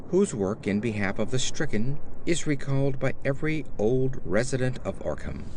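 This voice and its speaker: A man reads a gothic horror tale as an audiobook narrator. His mid-pitched voice moves in lively, wide swings, while the phrasing stays formal and deliberate. A faint ambient noise sits behind him. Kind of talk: scripted horror story narration.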